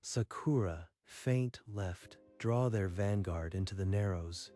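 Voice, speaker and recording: A man voicing a calm young man who hides that he is a sea emperor, steady and quietly commanding. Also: deep voice, slow. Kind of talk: manga dub voice acting. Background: faint music.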